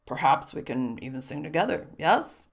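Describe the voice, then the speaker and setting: Brief: woman doing a soft-spoken middle-aged dad, lower, coaxing, a bit breathy. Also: big pitch swings, moderate pace, full character voice. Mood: playful. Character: gentle middle-aged father, a toolmaker.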